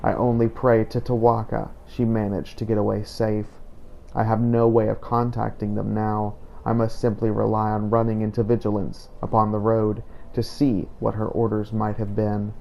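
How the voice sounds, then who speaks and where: A man with a low, mellow voice reads a solemn in-character adventure journal. His delivery is very even, with a creaky edge, as a grave monster hunter weighing his duty. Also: a little hiss or hum, rough recording. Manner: solemn, measured storytelling. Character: grave, duty-torn male vampire hunter and Dragonborn. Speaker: game player narrating a roleplay playthrough. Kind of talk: in-character scripted journal narration.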